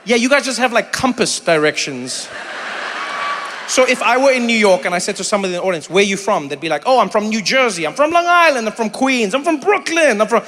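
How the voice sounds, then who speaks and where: A middle-aged man from Africa, doing animated stand-up comedy and crowd work, breaking into shouted impressions and teasing banter. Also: sits high, fast. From South Africa. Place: stage in a large theater before an audience. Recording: live theater with long hall reverb and faint room noise.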